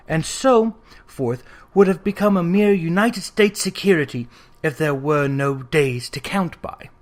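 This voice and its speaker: A man narrating a classic Victorian ghost story as an audiobook, in a theatrical, expressive storytelling manner. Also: a little high.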